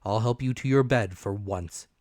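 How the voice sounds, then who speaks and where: A man voicing a brusque, commanding country doctor who speaks emphatically and deliberately in a storybook reading. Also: on the low side, darkish tone, no echo, studio quality, close to an everyday voice. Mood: stern warning.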